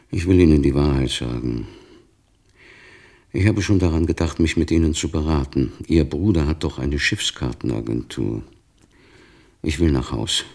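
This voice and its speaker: A resigned old immigrant in a radio drama. His extremely deep voice is husky and weary, and he speaks plainly and with reluctance. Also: full character voice. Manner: subdued, reluctant, plain-spoken. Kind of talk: German radio play acting.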